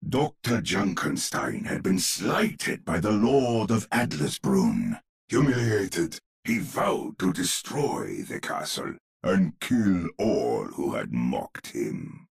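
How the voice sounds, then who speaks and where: An actor voicing an old male storyteller with a gravelly, husky voice, narrating a dark fairy tale for a game in an ominous, measured way. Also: low-pitched, huge pitch swings, full character voice. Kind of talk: dramatic scripted narration.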